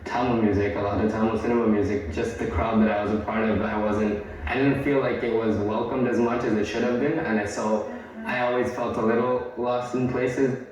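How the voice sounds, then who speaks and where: A man musician talking in an interview, halting and reflective. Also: lowish voice, flat delivery, a little mellow, very echoey, distant mic, rough recording.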